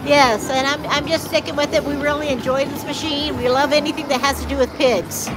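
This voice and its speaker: High-voiced older woman cheering slots. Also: fairly quick, low-quality recording. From California, USA. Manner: excitable, cheering at the reels. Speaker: slot streamer co-host. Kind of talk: live casino slot stream chat. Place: casino floor. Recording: loud casino machine music behind. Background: loud slot machine music.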